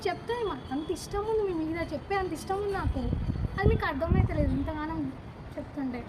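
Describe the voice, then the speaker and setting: A woman puts on a lovestruck young woman's prank-call voice, high-pitched and quick. She pleads insistently and coaxes with earnest devotion. The amateur recording is very noisy. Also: expressive. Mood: pleading, reproachful. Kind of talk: prank phone call, acted romantic pleading.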